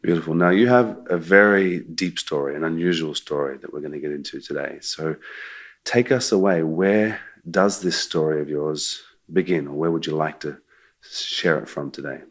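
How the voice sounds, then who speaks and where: Low-voiced host guy, friendly questions, easy prompting. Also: normal speed. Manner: welcoming, gently prompting. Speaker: interview host. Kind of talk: interview questions.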